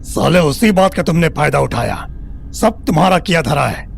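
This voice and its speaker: Middle-aged tyrant father for audio drama, male performer: gravelly, raised pitch, contemptuous and menacing. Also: expressive, moderate pace, a little hiss or hum. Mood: furious, contemptuous. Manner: bullying, insulting, threatening. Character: abusive, foul-mouthed, furious family patriarch. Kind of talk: Hindi audio drama acting. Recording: music bed under the voice.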